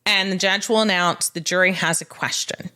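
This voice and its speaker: A woman's voice: lowish voice, steady tone, moderate pace, pushed and bright, clean tone. Woman co-hosting a casual legal podcast. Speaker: legal expert podcast co-host. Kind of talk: casual explanatory podcast chat.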